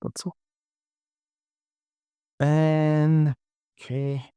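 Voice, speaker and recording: A man giving a casual software tutorial, talking through his work in short, informal instructions as he goes. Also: fairly high pitch, little pitch movement, drawn-out words, smooth, no room sound. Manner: informal, muttered short instructions to self and viewer. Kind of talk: casual screen-recorded software tutorial, talking while working.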